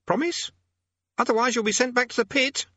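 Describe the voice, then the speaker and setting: A man voicing a squeaky, eager young boy demonologist who blusters pompously and hesitates, in a storybook reading. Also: steady tone, a little fast, sharp tone, no room sound, muffled recording, exaggerated voice. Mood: sheepish bluster. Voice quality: squeaky, high-pitched.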